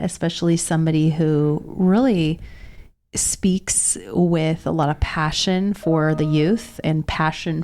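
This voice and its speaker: A woman's voice: sits low, darkish tone. A woman in her thirties co-hosting a podcast, sounding a little nasal from a cold, speaking earnestly and reflectively. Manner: earnest, reflective, slow in places. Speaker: podcast co-host. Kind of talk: casual podcast conversation.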